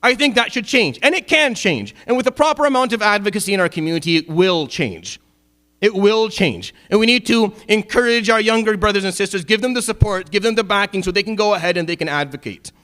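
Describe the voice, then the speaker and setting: A middle-aged man from Syria, giving a Friday sermon as an imam, impassioned at times and dropping low and wry at others. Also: expressive, a little fast, fairly bright tone. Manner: impassioned, rising to emphatic peaks then dropping low and wry.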